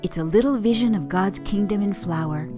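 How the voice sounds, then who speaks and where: A woman giving a measured, earnest scripted reflection as a church presenter. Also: medium pitch. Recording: guitar music behind the voice. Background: plucked guitar music.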